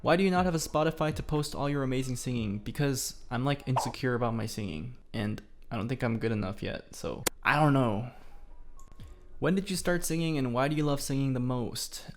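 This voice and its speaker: A man's voice: mid-range voice, fairly quick. Playful young man over background music. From Korea. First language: Korean. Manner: playful, self-deprecating, reads questions aloud. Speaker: YouTuber. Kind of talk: casual Q&A vlog.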